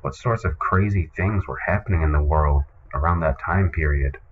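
A man hosting a comedic podcast in a casual, deadpan and hesitant way, on a muffled recording. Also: a bass voice, normal speed, a little hiss or hum. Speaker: podcast host. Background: music.

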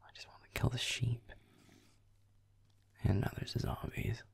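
Guy doing a grumpy werewolf boyfriend gaming, slow raspy whispery mumble, deadpan and sweary. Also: lightly acted. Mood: sarcastic irritation. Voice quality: low, breathy, gravelly half-whisper. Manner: muttering, swearing, sarcastic, deadpan. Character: grumpy young male werewolf boyfriend, gamer, sulky and aloof. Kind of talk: roleplay audio, muttered video game commentary.

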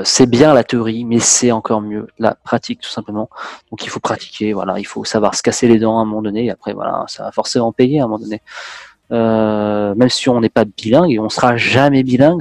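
A man's voice: medium pitch, darkish tone, no room sound. A man in his thirties hosting a video-call interview, chatty and direct, speaking straight to viewers. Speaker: host. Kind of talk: conversational interview. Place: indoor room. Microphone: headset on video call.

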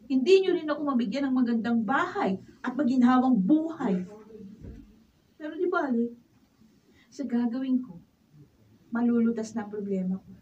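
A woman's voice: a little high, expressive, moderate pace, long pauses, mellow, highs cut off, lightly acted. A woman with a Filipino accent playing a spoiled, demanding young daughter in a radio drama, with a whining, scornful manner. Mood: sulky, defiant. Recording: distant camera mic with background noise.

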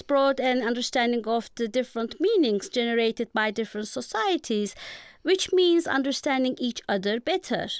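A woman with a Turkish accent, a native Turkish speaker, giving formal, measured, lecture-like answers as a guest professor. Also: a little high, clear, resonant tone, no room sound, studio quality. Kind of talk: prepared lecture-like interview answers.

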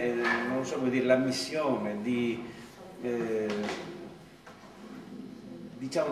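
Man in his fifties, hesitant reflective talk, distant camera, very echoey room, background noise. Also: mid-range voice. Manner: hesitant, pausing often with fillers. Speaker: supervisor speaking at a project presentation. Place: large classroom with rows of chairs. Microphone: far camera.

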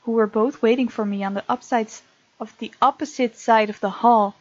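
A woman reads a visual novel aloud as a let's play host, dropping in casual remarks. Her voice sits fairly high and her manner is playful and expressive. The close-mic sound is dry and a little dull. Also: moderate pace, no room sound. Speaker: gaming video host. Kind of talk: let's play, reading game text aloud with casual asides.

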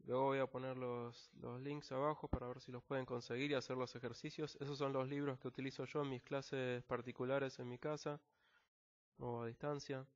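A man's voice: mid-range voice, level tone, normal speed. A man with an Argentine accent, an English teacher patiently explaining a technical text word by word, with frequent fillers. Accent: Argentine Spanish. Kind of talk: screen-recorded language lesson. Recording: slightly dull lo-fi recording.

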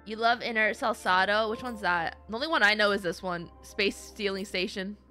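A woman's voice: mid-range voice, moderate pace. A woman chatting casually on a game livestream, talking playfully to her viewers. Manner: animated, playful, chatty, addresses viewers as gamers and guys. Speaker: game streamer. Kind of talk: casual livestream chat over gameplay. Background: game music.

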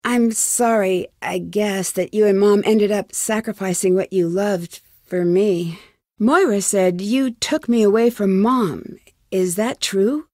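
A woman's voice: medium pitch, slow pace, dark tone, no echo, studio quality. An actress voicing a determined, inquisitive young woman detective with an American accent in a video game, earnest and questioning. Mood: earnest, hurt. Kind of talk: video game voice acting, isolated dialogue lines.

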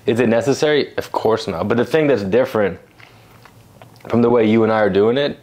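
A man's voice: lowish voice, fairly quick, darkish tone. A young man from Egypt co-hosting a podcast, talking in an emphatic, casual way full of "you know what I mean". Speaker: podcast co-host. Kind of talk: casual chat.

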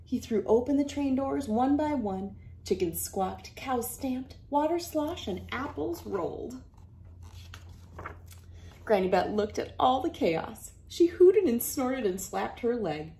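A woman in her thirties reading a picture book aloud to children in a playful, expressive way. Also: fairly high pitch, slow pace. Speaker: children's read-aloud host.

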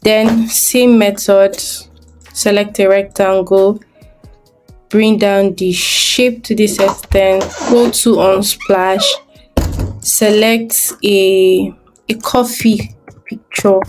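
Slow, thinking-aloud woman design tutor. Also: medium pitch, little pitch movement, a little mellow, soft background music, poor audio. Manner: thinking aloud step by step while working. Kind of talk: screen-recorded software tutorial.

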